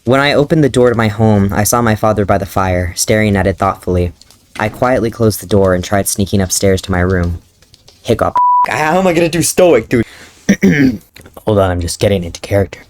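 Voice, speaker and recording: Man, low voice, reads his old fanfic aloud and mocks it with amused asides. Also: lively intonation, a little fast, slight background noise. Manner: amused, self-mocking, often laughing. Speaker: fanfiction reader and commentator. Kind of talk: dramatic reading with comic commentary.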